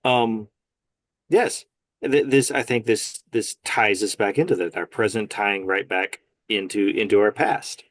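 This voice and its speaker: A man's voice: on the low side, measured pace, no room sound. A man speaking as a guest in a casual faith conversation, earnest and emphatic, often agreeing firmly. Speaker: guest, nurse.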